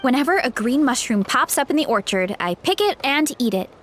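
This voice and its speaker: A woman's voice: high-pitched, sing-song, a little fast, slight background noise. A woman voicing a cheerful, easygoing young tribeswoman in a video game, laughing easily as she talks. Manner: upbeat, breezy, laughs easily. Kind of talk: game voice acting.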